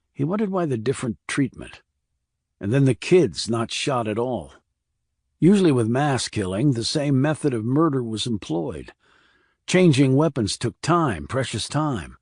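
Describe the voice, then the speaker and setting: A male narrator reads a crime thriller in a measured, serious manner. His pitch is medium, and the recording is very dry, with no audible room. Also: darkish tone, no room sound. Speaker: audiobook narrator.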